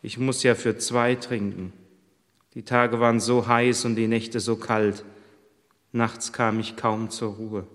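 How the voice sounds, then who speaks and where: A pregnant young servant woman on the run pours out her hardship in the first person, voiced by a man in his own plain voice. She speaks slowly and earnestly. The words ring out with a long echo, as if spoken in a large church. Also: lowish voice, little pitch movement, fairly bright tone. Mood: despairing. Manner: heartfelt first-person storytelling.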